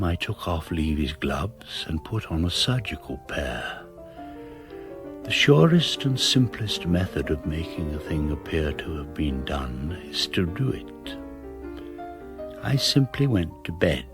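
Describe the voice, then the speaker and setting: A very deep-voiced English murderer, narrates a confession in a radio play over clear music. He is clinical and precise, taking his time, with an arrogant self-satisfaction. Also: full character voice. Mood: cool, methodical. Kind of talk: radio drama monologue, confession read as narration.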